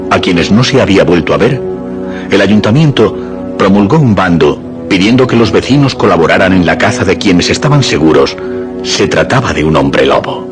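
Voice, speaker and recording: A man's voice: low-pitched, lively intonation, moderate pace. A man giving dramatic scripted narration for suspense radio theatre. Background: music.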